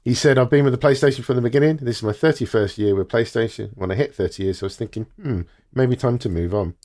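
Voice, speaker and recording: A man's voice: medium pitch, fast talker, darkish tone. A man co-hosting a podcast, presenting news items in a casual, chatty style. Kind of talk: casual news read-out and chat.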